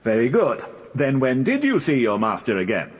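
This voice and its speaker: An actor playing a stern, relentless British prosecuting barrister in a radio drama, questioning formally and deliberately. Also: male voice, medium pitch, wildly swooping pitch, breathy edge. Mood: formal probing.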